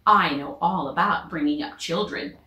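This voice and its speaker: A woman voicing a fussy, excitable mother duck in a storybook, with an emphatic, flustered manner. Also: low-pitched, moderate pace, roomy sound, low-quality recording. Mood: assured.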